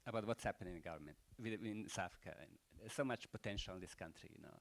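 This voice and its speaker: A man's voice: on the low side, very fast, slight background noise. An older man with a Croatian accent giving rambling, unscripted closing remarks at a panel event, full of rhetorical questions and "you know".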